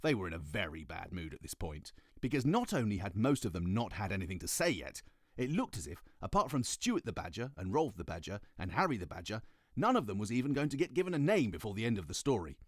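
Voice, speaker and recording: A British man narrating a children's story in an animated, expressive audiobook style. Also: fairly low pitch, quick pace, dry recording, studio quality.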